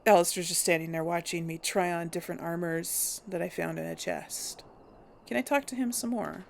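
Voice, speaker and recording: A young woman musing her way through a role-play game, chatty and full of little hums. Her voice has a gravelly fry to it, and faint noise sits behind her at home. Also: on the low side, steady tone, moderate pace.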